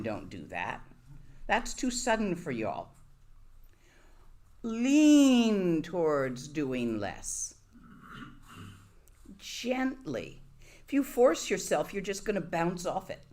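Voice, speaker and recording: A woman's voice: on the low side, huge pitch swings, slow pace, pauses often, a little mellow. A woman giving a Buddhist dharma talk to a live audience, conversational and wry, often asking her listeners questions. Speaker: Buddhist teacher.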